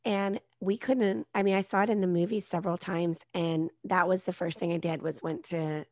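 A woman in her thirties with a soft-spoken voice, a guest author chatting casually in an interview. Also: lowish voice, fairly even intonation, moderate pace, dry recording, studio quality.

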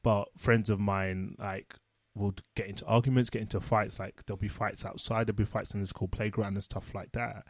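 A man co-hosting a casual chat, a social worker speaking in a reflective, measured way. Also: fairly low pitch, level tone, dry recording, clean studio sound. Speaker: social worker, podcast co-host.

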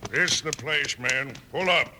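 A man's voice: mid-range voice, unhurried, natural voice. A man playing a vengeful, cocky outlaw boss in an old Western radio drama, hard and menacing. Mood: cocky, scheming. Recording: old radio recording, faint noise.

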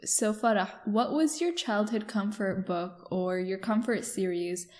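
Young woman podcast host, mellow voice. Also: medium pitch, measured pace. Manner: chatty, lots of "like" fillers. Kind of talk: casual chat.

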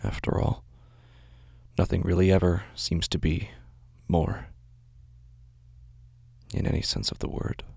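A man playing a weary, anxious shut-in, narrating a horror audio drama in a halting, hushed, introspective way. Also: deep voice, little pitch movement, creaky, husky, no echo, exaggerated voice. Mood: listless, pensive. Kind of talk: first-person horror audio drama monologue.

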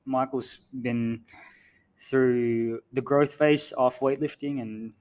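A man who grew up in the Philippines, co-hosting a podcast in a laid-back, self-deprecating way with many pauses and um's. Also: mid-range voice, fairly even intonation, darkish tone. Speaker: podcast co-host. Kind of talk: casual storytelling chat.

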